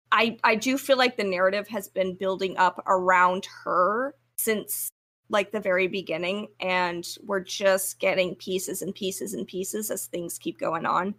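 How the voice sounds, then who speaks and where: A woman's voice: medium pitch, fairly bright tone. A woman co-hosting a podcast in casual, informal fan chat. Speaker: podcast co-host.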